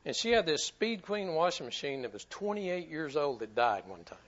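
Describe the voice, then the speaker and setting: A man's voice: on the high side, very animated, normal speed, fairly bright tone, dull audio. A man preaching a sermon to his church in a folksy, repetitive way, often stumbling over his words. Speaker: pastor.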